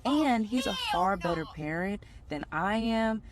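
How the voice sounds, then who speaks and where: A woman sharing a personal testimony about being a single mother, speaking in an earnest, gentle, heartfelt way. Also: medium pitch, lively intonation, a little slow, noisy background.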